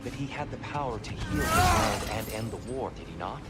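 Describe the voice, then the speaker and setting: An actor playing a gentle, wise old god in a video game, speaking in a measured, formal, thoughtful way. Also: male voice, mid-range voice, wildly swooping pitch, loud music behind the voice. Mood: reflective.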